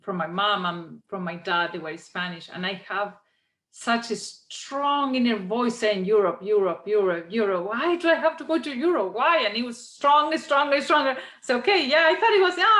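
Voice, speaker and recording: A woman's voice: a little high, animated, moderate pace, fairly bright tone. Earnest woman guide, echoey room. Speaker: guest, spiritual guide. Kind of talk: casual video-call interview.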